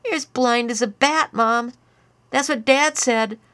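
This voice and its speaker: A woman's voice: a little high, a little slow, a bit bright, voice pushed up. A woman voicing a ten-year-old boy who is tipsy, giggling and teasing in a singsong way. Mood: giggly taunting.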